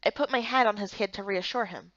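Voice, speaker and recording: A woman reading a video game story as narrator and lead, in expressive scripted voice-over. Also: mid-range voice, quick pace, no echo, lo-fi sound.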